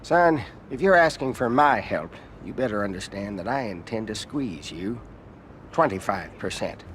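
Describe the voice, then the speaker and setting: An actor playing an arrogant, greedy middle-aged American senator in a video game, with a folksy, condescending manner. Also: male voice, fairly low pitch, animated, normal speed, fairly bright tone, a little hiss or hum. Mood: contemptuous. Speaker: senator character in a crime game. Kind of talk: dramatic video game cutscene acting.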